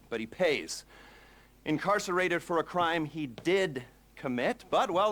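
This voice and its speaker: A man's voice: mid-range voice, a bit bright, close to an everyday voice. Man acting a wordy, wry criminal heist planner. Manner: wordy, digressive, stammering asides with dry sarcasm. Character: nerdy, rambling criminal heist planner briefing his crew. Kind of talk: video game mission briefing.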